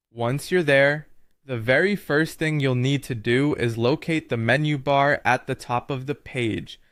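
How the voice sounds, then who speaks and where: A man's voice: medium pitch, no echo, clean studio sound. Man narrating a step-by-step tutorial.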